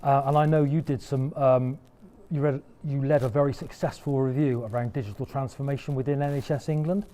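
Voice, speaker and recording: Booming man moderator, mellow tone. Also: steady tone, brisk. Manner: loud, speaking without a microphone. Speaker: panel moderator. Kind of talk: moderating a panel discussion. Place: conference room.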